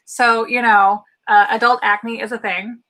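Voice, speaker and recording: A woman's voice: fairly high pitch, flat delivery, a little slow, bright, forceful tone. A young woman from St. Louis, co-hosting a live watch party and chatting candidly in a rambling, self-deprecating way. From St. Louis, Missouri. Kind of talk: casual livestream chat.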